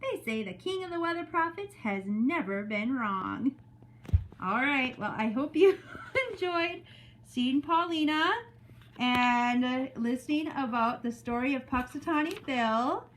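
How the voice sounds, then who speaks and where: A woman teacher reading a story aloud to young children in an expressive way, with casual spoken asides. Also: sits high, unhurried, a little hiss or hum. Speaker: teacher reading story time to second graders.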